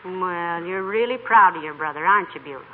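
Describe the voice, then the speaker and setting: A woman playing a sensible, kindly wife, polite and gently inquisitive, in a 1940s radio comedy. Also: fairly low pitch, brisk, lightly acted. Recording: tinny, band-limited 1940s radio recording.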